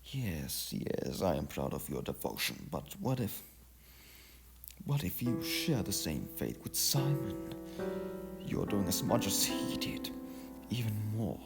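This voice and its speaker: A man's voice: low-pitched, sing-song, slow pace, vocal fry, full character voice. A man voicing a nagging, worried old village mother in a story, reproachful and complaining. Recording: music behind the voice.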